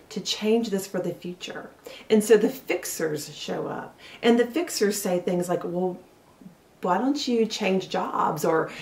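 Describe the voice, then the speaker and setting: A woman in her forties preaching a sermon from her living room, telling the story earnestly and slowing down for emphasis. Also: lowish voice, a little mellow. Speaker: pastor. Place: living room at home, indoors. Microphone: camera a little way off, seated facing it. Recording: roomy sound from a distance.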